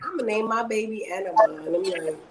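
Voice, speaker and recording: A woman's voice: mid-range voice, quick pace, heavy background noise. A woman chatting casually as an interview guest, laughing often and hesitating with many fillers.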